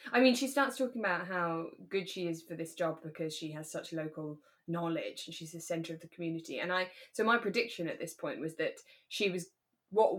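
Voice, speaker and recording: A woman co-hosts an informal chat show. Her voice sits slightly on the low side for a woman. She talks conversationally, as if going over episodes with a friend. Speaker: podcast co-host; actor. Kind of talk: casual chat recapping a radio drama.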